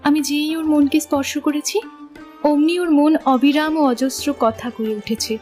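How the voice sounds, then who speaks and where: A woman's voice: high-pitched, moderate pace, a little mellow, soft background music, lightly acted. A woman playing a thoughtful, well-read young woman in love, speaking earnestly and eloquently in a literary audio drama. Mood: wistful, earnest.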